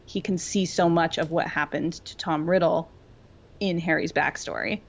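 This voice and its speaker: A woman's voice: sits low, steady tone, normal speed, gravelly. A woman co-hosting a podcast, explaining her thoughts in a casual, reflective way on slightly lo-fi audio. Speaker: podcast co-host. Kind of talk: casual pop-culture discussion.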